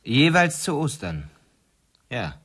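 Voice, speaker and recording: Slow, expressive troubled gentleman. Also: medium pitch, breathy edge, dry recording, studio quality, full character voice. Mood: troubled, grave. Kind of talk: radio play acting, 1970s.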